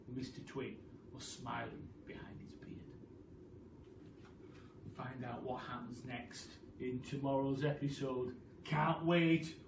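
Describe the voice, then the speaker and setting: A man telling a children's story expressively, like a teacher, with dramatic, deliberate pauses and emphasis. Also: on the high side. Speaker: teacher reading a story to pupils. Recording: roomy, distant, with noise.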